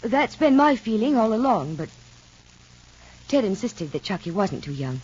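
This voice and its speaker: An actress playing a worried older matriarch in a 1950s radio soap, with an anxious, probing manner. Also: female voice, mid-range voice, sing-song, normal speed, a little mellow, slightly rough. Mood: worried, hurt. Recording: muffled old radio recording.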